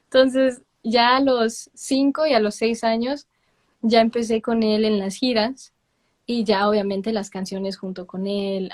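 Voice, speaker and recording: A woman's voice: mid-range voice, darkish tone. A young woman with a Mexican accent, a guest telling stories in a casual interview. She laughs often and drops in English words. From Miami, with long stays in Mexico.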